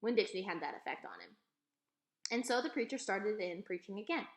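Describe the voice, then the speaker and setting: A woman reading a children's book aloud as an expressive storyteller. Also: mid-range voice, fairly quick. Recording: echoey room.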